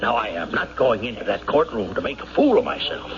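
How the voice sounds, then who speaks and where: An actor playing a middle-aged, argumentative prosecuting lawyer in an old-time radio drama, emphatic and exasperated. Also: male voice, mid-range voice, sing-song, fairly quick, lightly acted. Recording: muffled vintage radio, crowd murmur behind.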